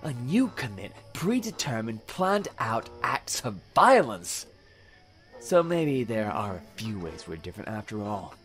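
Serial killer villain, audio drama, self-righteous, menacing, highly varied pitch, dramatic score behind. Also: male voice, very animated, a bit bright, faint music behind. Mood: taunting, menacing. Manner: theatrical, deliberate, taunting menace with whispered dips. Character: philosophical, sinister male serial killer, taunting and self-righteous.

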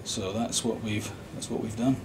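A man's voice: on the low side, level tone, normal speed, darkish tone. Scottish man presenting in an echoey room. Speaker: loudspeaker company exhibitor. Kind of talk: informal product presentation. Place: small hotel exhibition room, indoors. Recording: roomy hotel room, light background noise.

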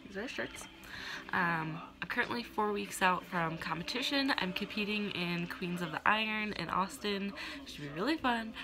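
A woman's voice: medium pitch, normal speed, music in the background, poor audio. A young woman in her twenties with a stuffy, blocked nose, chatting casually to her phone camera in a small room. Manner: chatty and a little awkward. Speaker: vlogger and gym co-owner. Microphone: phone at arm's length.

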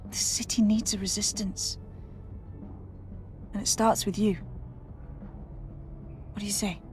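A woman's voice: medium pitch, flat delivery, slow, a little mellow, faint music behind, lightly acted. A woman playing a battle-weary, determined resistance leader in a video game, earnest and grave. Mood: urgent, resolute. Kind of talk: video game character acting.

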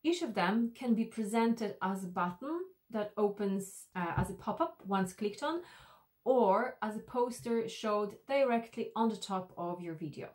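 A woman's voice: medium pitch, animated, measured pace, a little mellow. A woman in her thirties narrating an instructional tutorial as an e-learning instructor, explaining things directly to the viewer.

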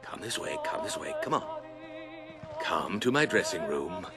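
Game role: elderly male tailor, obsequious, unhurried, hugely animated delivery. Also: fairly low pitch. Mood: delighted, fawning. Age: older. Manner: obsequious, savoring his words. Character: fawning, courteous old male tailor. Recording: game cutscene under loud music.

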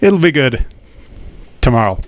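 A man's voice: mid-range voice, big pitch swings, measured pace. A man hosting a let's play, translating and commenting on a game in a casual, wry way. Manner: casual, wry, dry humor. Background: game music.

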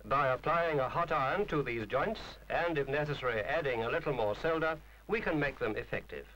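A man's voice: mid-range voice, fairly quick, bright, forceful tone, low-quality recording. A man reading a scripted instructional film narration in a formal, precise, didactic manner.

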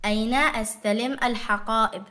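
Woman modeling pronunciation, very quick, slightly high voice, short careful words. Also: fairly even intonation, bright, forceful tone. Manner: short, carefully spoken single words and phrases. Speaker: language teacher pronouncing words. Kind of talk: model word pronunciation for learners.

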